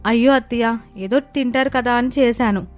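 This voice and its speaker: A woman's voice: fairly high pitch, moderate pace, soft background music, lightly acted. A woman playing an innocent, timid young village daughter-in-law in a dramatised family story, meek and pleading. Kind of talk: dramatised moral story acting.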